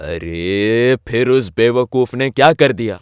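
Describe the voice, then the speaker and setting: A man playing a dismissive, scolding village headman in an animated story. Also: mid-range voice, slow, soft background music, natural voice. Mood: skeptical, exasperated.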